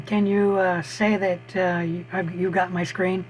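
Hoarse man teaching online, slow and halting with lots of "uh" pauses, fairly high voice, faint hum behind. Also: little pitch movement, rough recording. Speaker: college biology instructor. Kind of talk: online lecture over screen share. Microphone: computer mic on a video call.

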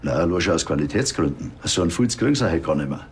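A low-voiced Bavarian hat maker. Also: male voice, expressive, fast talker. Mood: matter-of-fact. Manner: matter-of-fact, colloquial. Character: plain-spoken stocky male small-town hat maker. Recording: some background ambient noise.

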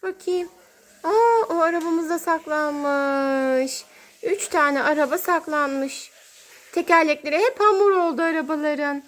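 A woman's voice: sits high, measured pace, a bit bright. A woman hosting toy play for young children as a mother, talking in a sing-song, exclaiming way and drawing out her words.

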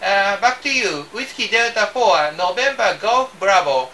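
An older man from Japan with a Japanese accent makes a ham radio contact, speaking haltingly, repeating words and spelling with the phonetic alphabet. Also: sits high, lively intonation, bright, forceful tone, far from the mic, a little hiss or hum, low-quality recording. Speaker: amateur radio operator. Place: small indoor radio desk.

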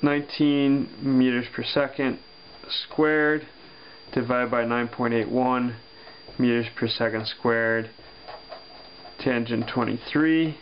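Male physics teacher, deliberate and halting, dull desk recording with a low hum. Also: steady tone. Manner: slow, thinking aloud with many pauses and fillers. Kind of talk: worked-example lesson over paper. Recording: dull lo-fi sound with faint hum.

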